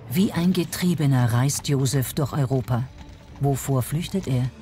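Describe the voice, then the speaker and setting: Mellow female documentary narrator. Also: a bass voice, moderate pace. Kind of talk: scripted narration. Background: faint music.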